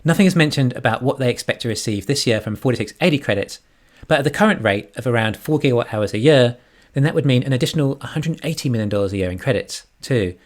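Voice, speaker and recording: A man's voice: mid-range voice, expressive, fast talker. A man giving scripted financial commentary as an online analyst and narrator. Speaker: YouTube stock analyst.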